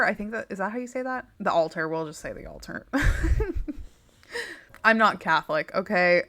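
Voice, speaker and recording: Young female gaming host; slightly raspy, lowish and bright, chatty and wry commentary over gameplay. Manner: chatty and wry, laughs often, reads text then jokes about it. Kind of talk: casual gameplay commentary reading in-game text aloud.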